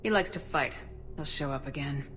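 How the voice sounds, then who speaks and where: Low-voiced, firm female commander. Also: moderate pace, fairly bright tone, a little hiss or hum. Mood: urgent concern. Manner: firm, clipped. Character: resolute, terse female military commander.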